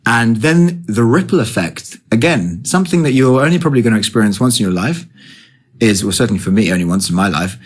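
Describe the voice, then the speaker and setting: A young man from London with a London accent and a low, husky voice. He rambles through his story, restarting and correcting himself. When it gets exciting, he becomes animated. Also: quick pace. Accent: London English. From England. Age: 20s. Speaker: guest. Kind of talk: casual conversation.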